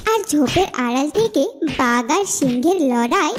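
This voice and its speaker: A woman's voice: high voice, lively intonation, moderate pace, a little hiss or hum. A woman narrating a scripted animal fable for children as the storyteller. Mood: storytelling.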